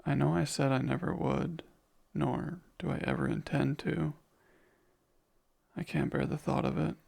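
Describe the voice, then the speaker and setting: A man acts out a kind, haunted vampire in an intimate ASMR roleplay. He speaks slowly and tenderly, choosing formal words with care. The voice is deeply creaky, full of vocal fry, and hesitant with remorse. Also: wildly swooping pitch, a little mellow, full character voice. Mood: tender, uneasy. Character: kind, gentle, remorseful male vampire comforting someone. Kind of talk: ASMR audio roleplay, soft intimate monologue.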